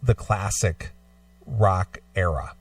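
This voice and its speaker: A man co-hosting a casual talk show, speaking in a measured, agreeable way. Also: deep voice, gravelly, dry recording, distant mic. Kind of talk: casual radio discussion, reading and commenting.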